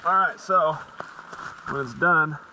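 A man's voice: on the high side, slow, background noise. A young man hosting a fishing vlog outdoors in the rain, talking casually and cheerfully about the catch. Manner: easygoing, enthusiastic about fish. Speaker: fishing vlogger host.

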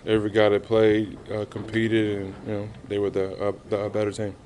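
A man in his thirties answering reporters after a game, halting and subdued, with many fillers. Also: on the low side, monotone, background noise. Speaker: basketball player at a postgame press conference. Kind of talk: postgame press interview. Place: arena press room, indoors. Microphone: reporters' handheld mics held close.

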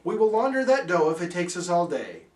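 An eager, scheming storybook senator, put on by a man, exclaiming in singsong rhyme. The pitch is raised and bouncy, and the sound is roomy and a bit off the mic. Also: very animated, fairly quick, a little mellow, far from the mic. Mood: determined.

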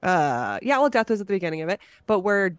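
A woman hosts a casual podcast chat with enthusiasm. Her voice is smooth and clear at an average pitch, with a dry, close sound. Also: big pitch swings, fast talker, no echo. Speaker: podcast host.

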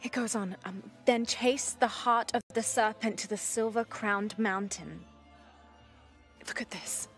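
A scholarly young woman adventurer voiced by an actress speaks with intent focus in a video game scene. Her pitch sits mid-range and her delivery is natural and thoughtful. Faint music plays behind her. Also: a little slow, close to an everyday voice. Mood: absorbed, explaining. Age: young adult.

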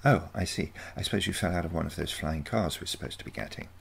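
A man's voice: low voice, quick pace, creaky, husky, full character voice. A man voicing a genteel, haughty grandmother with a wry, sarcastic manner in a storybook reading.

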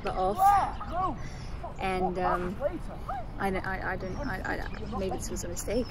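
A woman's voice: mid-range voice, level tone, takes it slowly. A woman playing an awkward, apologetic young woman in naturalistic drama, stammering and repeating herself. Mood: wistful, uneasy. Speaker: actor in an immersive theatre audio piece. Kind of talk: naturalistic dialogue acting. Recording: noisy outdoor background with traffic.

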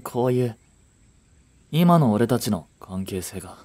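A man's voice: mid-range voice, animated, unhurried, warm tone, close to an everyday voice. A man playing a frustrated young working boyfriend in a romantic audio drama, speaking in blunt, casual masculine language. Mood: subdued, hurt. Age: young adult.

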